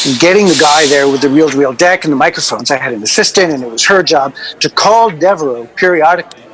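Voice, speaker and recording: An actor playing a wry, exasperated record producer telling rambling anecdotes in a radio drama. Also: male voice, on the high side, fairly bright tone, poor audio. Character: wry, exasperated male music producer reminiscing about eccentric artists. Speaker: record label producer being interviewed. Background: music.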